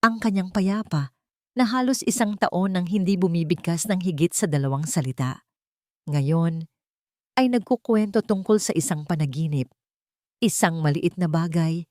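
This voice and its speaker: A woman's voice: lowish voice, a little mellow, dry recording, studio quality. A woman with a Filipino accent narrating a scripted dramatic story.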